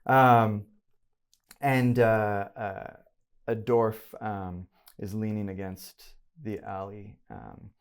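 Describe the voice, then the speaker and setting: A man narrating a tabletop game as game master, haltingly, searching for his words as he improvises. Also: lowish voice, steady tone, clean tone.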